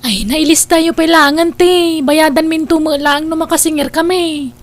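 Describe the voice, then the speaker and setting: A woman's voice: high-pitched, steady tone, normal speed. An Ilocano-accented woman playing a disapproving, nagging elder sister from a village in an audio drama. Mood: defensive. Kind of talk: Ilocano radio drama dialogue.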